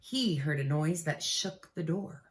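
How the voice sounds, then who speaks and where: A woman reading a children's storybook aloud with expressive delivery, pausing to prompt young listeners. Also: deep voice. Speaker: teacher. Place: room at home. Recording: echoey home room.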